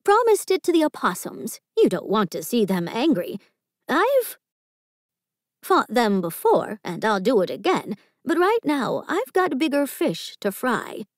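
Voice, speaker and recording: A woman voicing a gruff, eccentric woman who guards the garbage and brags about fighting opossums, in a storybook reading. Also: fairly high pitch, sing-song, measured pace, no echo, clean studio sound, voice pushed up. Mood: brash. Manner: brash, boastful tall talk.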